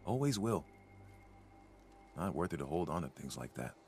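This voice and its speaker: An actor playing a gentle, soft-spoken male ghoul who calmly accepts hardship, in video game dialogue. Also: very deep voice, normal speed, gravelly, slight background noise, heavily acted. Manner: calm, mild, accepting.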